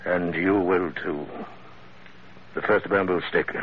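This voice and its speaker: An actor playing a suave middle-aged villain in an old-time radio drama, speaking in courtly, ornate sentences with polite menace. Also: male voice, sits low, fairly even intonation, a little hiss or hum. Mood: coolly cruel. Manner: courtly, unhurried, ornate formal speech. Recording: muffled, phone-like old radio recording.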